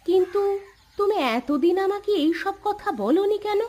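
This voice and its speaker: A woman's voice: extremely high pitch, lively intonation, normal speed, dark tone. A woman voicing a caring, puzzled village wife in a Bengali cartoon, with a plaintive, questioning manner.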